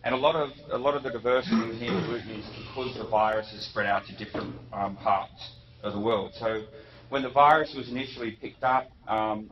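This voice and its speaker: Lower-voiced man lecturing in an echoey hall. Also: slight background noise. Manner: unhurried, frequent ums and self-corrections. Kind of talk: academic lecture. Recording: muffled echoey hall recording from a distance.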